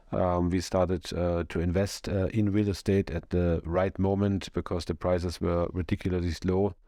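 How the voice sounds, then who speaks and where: Flat, deep-voiced man on a podcast. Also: dry recording, studio quality. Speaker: co-owner of a real estate firm. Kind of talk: casual podcast chat.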